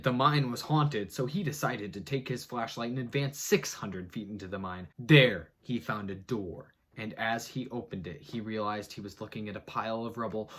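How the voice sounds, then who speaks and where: A man's voice: a bit bright, slightly rough. A man giving a theatrical, mock-dramatic reading of an article, breaking off for muttered sarcastic asides. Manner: theatrical, swings between booming and muttered.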